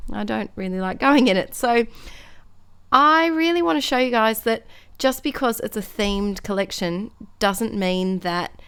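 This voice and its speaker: A young Australian woman with a slightly croaky voice, chatting casually in a rambling, self-deprecating way as she narrates her scrapbooking. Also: medium pitch. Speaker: scrapbooking vlogger.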